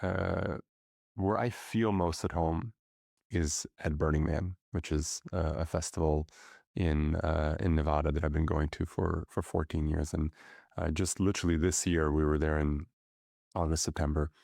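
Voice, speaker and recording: A middle-aged man, a podcast guest who grew up speaking Russian, talking thoughtfully with many pauses and fillers. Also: extremely low pitch, fairly even intonation, gravelly, darkish tone, no room sound, studio quality. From grew up in many countries. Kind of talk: reflective conversational interview.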